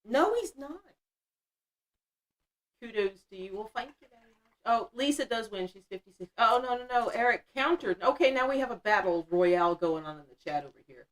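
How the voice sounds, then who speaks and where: Woman, 50s, livestream host; chatty, blunt, self-mocking, quick to laugh. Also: a little high, animated. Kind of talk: casual livestream chat reading the chat.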